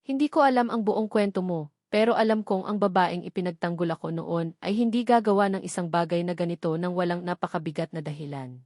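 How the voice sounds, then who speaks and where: A woman voicing a calm, tender, understanding male politician in a narrated love story. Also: on the low side, fairly quick, dry recording, studio quality, lightly acted. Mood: calm, trusting. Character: gentle, understanding male politician, soft-spoken.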